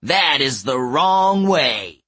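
A man voicing a huge, cruel male giant in a storybook, growling out slow, drawn-out taunts. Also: medium pitch, lively intonation, bright, forceful tone, exaggerated voice. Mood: menacing.

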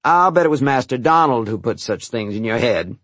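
A man's voice: mid-range voice, lively intonation, fairly quick, no room sound, voice pushed up. A man voicing a cheerful, teasing old woman who works as the family cook, speaking in folksy rural dialect. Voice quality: rural dialect grammar, chuckling warmth.

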